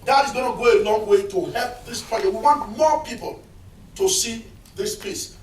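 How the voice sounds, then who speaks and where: A man's voice: extremely high pitch, moderate pace, a little hiss or hum. A man on a film panel, passionately appealing to the audience for support. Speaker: filmmaker on the panel. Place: cinema auditorium. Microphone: point-and-shoot camera across the room. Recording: echoey hall, distant camera mic.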